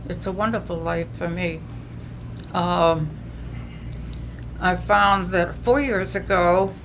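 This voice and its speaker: An older woman with a New England accent shares her recovery story in a low, earnest voice. She often restarts her phrases as she speaks. A steady hiss of background noise runs under the recording. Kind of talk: personal testimony.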